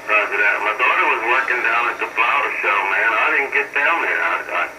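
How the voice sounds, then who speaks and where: A man's voice: medium pitch, brisk. A middle-aged man chatting casually over two-way radio in operator lingo, with an easygoing, folksy manner. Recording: distant, very noisy two-way radio signal.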